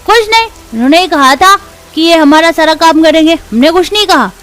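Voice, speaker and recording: Very high-pitched village child, voiced by a woman. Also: expressive, brisk, fairly bright tone. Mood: explaining. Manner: childlike, insistent. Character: young village children, bossy or whining.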